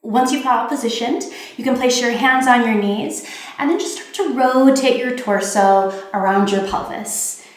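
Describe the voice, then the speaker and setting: A woman with a slightly high voice leads a guided meditation in a very reverberant room. She gives gentle, soothing instructions, unhurried and spaced with pauses.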